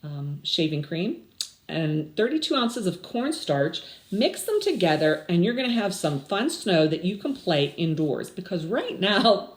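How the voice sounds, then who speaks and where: Older woman, children's story time host, unhurried, slightly low voice, lively pitch swings. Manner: expressive, asks young listeners questions. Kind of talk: picture-book read-aloud and chatting to children. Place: small indoor room with blinds.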